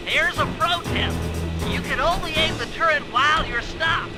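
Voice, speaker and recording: A man's voice: very high voice, moderate pace. A man voicing a brainy, earnest cartoon animal gadget expert in a video game, explaining things in precise technical terms. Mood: earnest, instructive. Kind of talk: video game cutscene voice acting. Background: background music.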